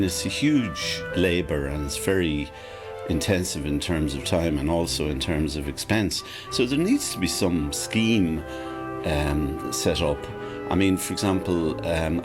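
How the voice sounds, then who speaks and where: An Irish man who edits opera scores, speaking as a guest in a radio interview in a thoughtful, measured way, often adding "you know" and "I mean". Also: very deep voice. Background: music.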